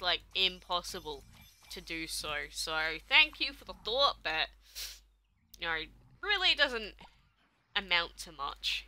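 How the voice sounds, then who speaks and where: A woman's voice: medium pitch, pushed and bright. A young woman, streaming a game live and chatting casually as she answers viewers' questions. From England. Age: young adult.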